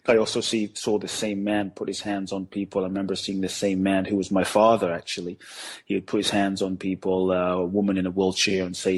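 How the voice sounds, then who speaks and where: A man from Ghana who grew up in London, a guest on a podcast, telling his life story in a reflective, measured way with many pauses and fillers. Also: low voice, husky. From Ghana, raised in London. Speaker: guest, spiritual teacher.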